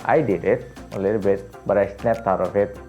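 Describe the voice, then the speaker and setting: A male vocal coach with a raspy voice, teaching casually and jumping to a high, excited pitch when he stresses a point. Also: fairly quick, soft background music. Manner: animated, jumps to high excited pitch on emphasis, laughs often.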